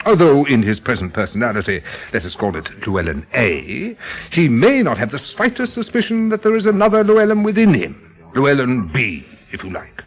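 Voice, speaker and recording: A man playing a pompous, excitable gentleman amateur theorist in a radio drama, insistent and theatrical. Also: on the high side.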